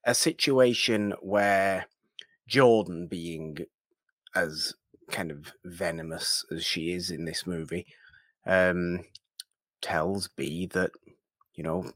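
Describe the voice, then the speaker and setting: A man co-hosting a podcast, discussing a film casually and pausing often to choose his words. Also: low-pitched, a bit bright, dry recording. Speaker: podcast co-host.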